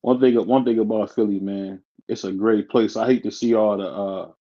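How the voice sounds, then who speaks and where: Laughing host on muffled audio. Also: male voice, fairly low pitch, fairly even intonation, moderate pace, warm tone. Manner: laughs often, short agreeing replies. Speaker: stream host. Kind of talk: casual chat.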